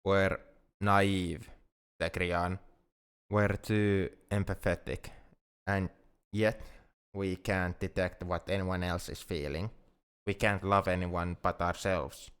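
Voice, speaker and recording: A man playing an anxious, lovesick alien friend in an ASMR roleplay, speaking in a halting, earnest, formally worded way. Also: low voice, little pitch movement, clean tone. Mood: bitter, pained. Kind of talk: ASMR roleplay, intimate dramatic monologue.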